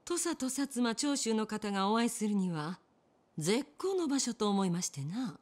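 An actress playing a poised, determined innkeeper with a Kyoto accent in a period game drama, genteel, polite and deliberate. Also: female voice, a little high, animated, a little mellow. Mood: composed.

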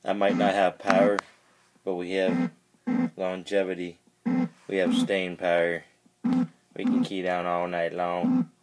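A man's voice: low-pitched, monotone, a little slow, pauses often, heavy background noise. A man giving casual, joking commentary in short, repeated phrases with a playful, mock-dramatic manner, on a handheld camera. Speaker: CB radio hobbyist filming his radio. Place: dim indoor radio bench.

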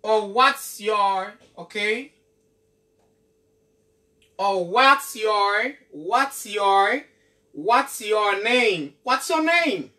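A young man teaching at a whiteboard, drilling each phrase slowly and checking in with "ok?" after nearly every line. His voice is bright and forceful. It is picked up from a few feet away by a camera mic in a small room. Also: sits high, animated. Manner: slow, repeats each phrase, often asking "ok?". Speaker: English teacher. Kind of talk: classroom lesson. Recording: voice from far off the mic.

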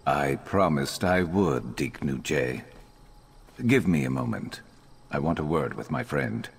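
A man voicing a stoic, loyal reptilian warrior companion in a video game, measured and earnest. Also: a bass voice, slight background noise.

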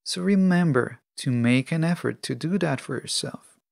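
A man hosting a self-help podcast alone, speaking in measured, deliberate phrases. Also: fairly high pitch, vocal fry, a little mellow, no echo, clean studio sound. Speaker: podcast host.

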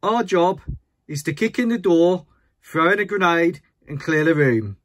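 Man playing a slow, blunt army general. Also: a little high, animated, a bit bright. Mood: blunt, insistent. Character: blunt army general who likes things simple.

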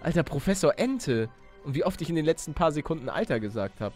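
A man doing excitable let's play commentary, exclamatory and slangy, talking to viewers and himself. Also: on the high side, fast talker, faint music behind. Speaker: gaming YouTuber and let's player.

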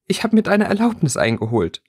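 A man's voice: high voice, sing-song, brisk, husky, voice pushed up, exaggerated voice. A man voicing an upset, tearful and indignant young schoolgirl in a plaintive storybook reading.

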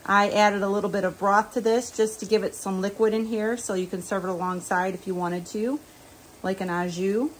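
A woman's voice: medium pitch, steady tone, moderate pace, slight background noise. Chatty older woman vlogging cooking. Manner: chatty, explains each step plainly with fillers. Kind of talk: casual cooking vlog. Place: home kitchen.

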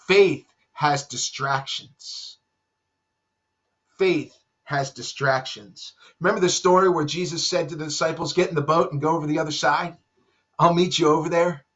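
Earnest pastor preaching from home. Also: male voice, steady tone, normal speed. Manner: earnest preaching, drops to soft low tones for emphasis. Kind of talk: sermon. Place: home room with bookshelves. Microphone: webcam at desk. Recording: dull lo-fi webcam audio.

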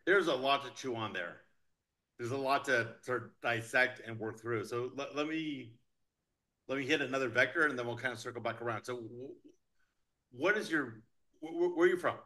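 A man's voice: medium pitch, moderate pace, sharp tone. A middle-aged man interviewing a guest over a video call from his kitchen, asking short questions with stammered starts. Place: kitchen indoors. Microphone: video call webcam.

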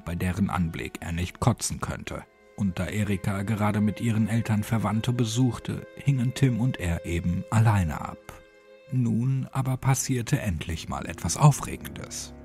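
A man's voice: fairly low pitch, moderate pace, dark tone. A man narrating a horror story as a dramatic audiobook reading. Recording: music behind the voice. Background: music.

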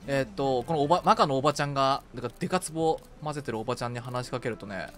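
A man chatting casually as a game streamer with a co-player, bantering and quick to exclaim at what happens. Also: medium pitch, a bit bright. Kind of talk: casual gaming chat with a co-player. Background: game music.

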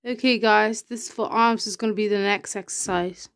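A young woman chatting casually to her vlog audience at home, out of breath between workout sets and talking low. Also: steady tone, moderate pace, clean tone. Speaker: vlogger. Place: living room at home.

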